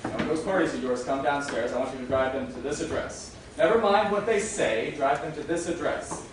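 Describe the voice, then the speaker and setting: A man's voice: mid-range voice, a little fast, close to an everyday voice. A man on stage playing a bossy, blustering older merchant in an emphatic theatrical style. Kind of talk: theatrical stage comedy acting. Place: theater stage, recorded from a distance. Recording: very echoey, noisy hall from far off.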